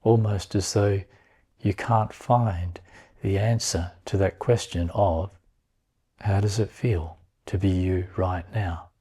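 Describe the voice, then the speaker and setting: A man with a low, mellow voice leads a guided meditation. He speaks very slowly and calmly in short phrases, leaving long pauses between them. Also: husky.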